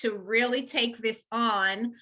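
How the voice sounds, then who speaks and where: A woman from Rwanda and Uganda talks as a guest about her home organizing business. Her voice is fairly high and very bright, and she speaks in an enthusiastic, chatty way with lots of fillers. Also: fairly even intonation, slow. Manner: warm, enthusiastic, many fillers. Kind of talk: casual interview chat.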